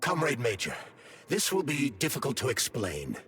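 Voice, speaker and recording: A man's voice: medium pitch, wildly swooping pitch, fairly bright tone, slightly rough, heavily acted. An actor voicing a polite, erudite male artificial intelligence in a video game, formal and precise, through staticky radio-like processing. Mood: guarded, formal. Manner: formal, precise, measured wording. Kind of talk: video game cutscene acting. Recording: staticky radio sound with long reverb.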